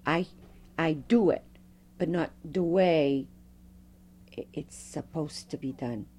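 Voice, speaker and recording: Low-voiced woman Fado singer, lively storyteller. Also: slow, slight background noise. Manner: animated storyteller, strong loud voice. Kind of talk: oral history interview.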